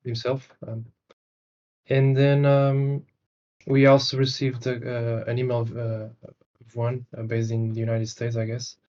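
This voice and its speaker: A young man from Portugal answers interview questions over a video call. He speaks hesitantly, pausing on fillers, in a flat, even tone. The sound is a little muffled. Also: mid-range voice. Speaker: interview guest, developer. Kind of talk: casual interview talk.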